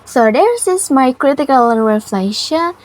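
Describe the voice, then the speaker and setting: A young woman with an Indonesian accent giving a prepared personal reflection to camera in halting, careful non-native English. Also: high voice, expressive. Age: young adult. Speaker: university student.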